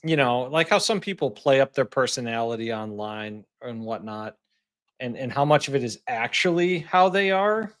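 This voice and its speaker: A man co-hosting a podcast, easygoing and agreeable, thinking out loud in casual chat. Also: mid-range voice, animated, normal speed. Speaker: podcast co-host.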